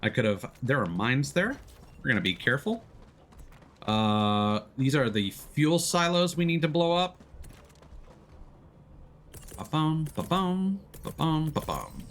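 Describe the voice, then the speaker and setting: A man's voice: a little high, very animated, slow, long pauses, slight background noise. A man doing casual, playful live commentary as he plays a game, full of exclamations and little muttered sound effects. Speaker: livestream gamer, host.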